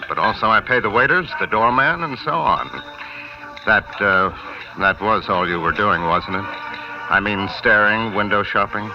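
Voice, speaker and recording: A distant, muffled old radio recording of a man playing a nightclub boss in a noir drama. The voice is low. He sounds smooth and chummy on the surface, guarded and insinuating underneath. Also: moderate pace, over music, lightly acted. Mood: needling. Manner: insinuating, falsely casual, chummy. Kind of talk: 1950s radio noir acting.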